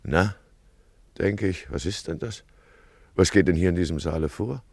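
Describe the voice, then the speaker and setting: A man's voice: deep voice, normal speed, darkish tone, breathy edge, dry recording. An actor playing a fussy, weary male town judge in a 1950s radio play, grumbling as he recounts events. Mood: rueful. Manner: grumbling, storytelling narration.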